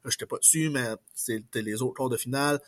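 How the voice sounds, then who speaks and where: Enthusiastic wrestler guest. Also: male voice, medium pitch, fast, fairly bright tone. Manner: enthusiastic, mixes in English words. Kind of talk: casual interview chat.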